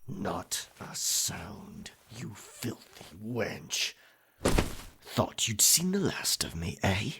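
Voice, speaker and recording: A man playing a dominant, sly pirate captain in a roleplay ASMR, with a raspy voice and slow, deliberate theatrical delivery. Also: lowish voice, big pitch swings, noisy background, exaggerated voice. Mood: menacing, commanding. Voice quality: raspy, gravelly.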